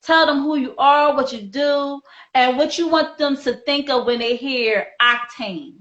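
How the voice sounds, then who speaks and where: An enthusiastic woman hosting an online talk show with a high, bright voice. She sounds warm and effusive toward her guest. Her voice bounces around a roomy, echoey space. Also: measured pace, lo-fi sound. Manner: enthusiastic, affectionate, laughs easily. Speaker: show host. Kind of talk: casual interview hosting.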